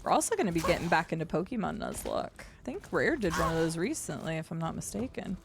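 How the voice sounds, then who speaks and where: A young adult woman with a gravelly voice and deep vocal fry, chatting casually while streaming a game. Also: huge pitch swings, quick pace, background noise. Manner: chatty, swears and laughs at the game. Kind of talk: casual live-stream chat while gaming. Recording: faint game music behind.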